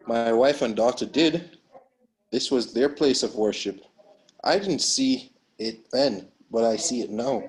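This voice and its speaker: A grim, grieving father bent on revenge, read by a man. He speaks in short, brooding lines with a mid-pitched voice, in the manner of a thriller drama read aloud. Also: animated, a little slow. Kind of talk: dramatic script table read.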